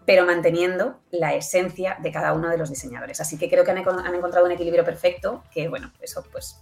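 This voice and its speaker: A woman's voice: on the low side, steady tone, fast, gravelly, faint music behind. A woman answering as a guest in a conversational interview, in stop-start phrases dotted with checking tags. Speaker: interview guest, audio fiction producer.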